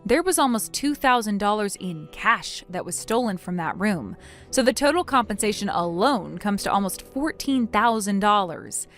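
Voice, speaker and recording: A woman's voice: mid-range voice, lively intonation, moderate pace, a bit bright, faint music behind, natural voice. A woman voicing a wronged bride in a melodramatic story, stern and businesslike, scolding and explaining firmly. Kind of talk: acted dialogue in a melodramatic story.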